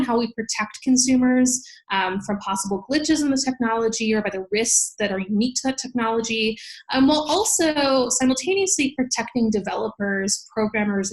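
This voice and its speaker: American woman, bit higher pitched, prof explaining stuff clearly on a podcast interview, very organized. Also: rough recording. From United States. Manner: articulate, organized, explains in plain examples. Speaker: law professor, podcast guest.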